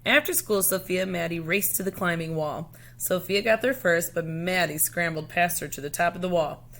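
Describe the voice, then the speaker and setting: A woman reading a children's storybook aloud in a deliberate, expressive way. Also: fairly low pitch.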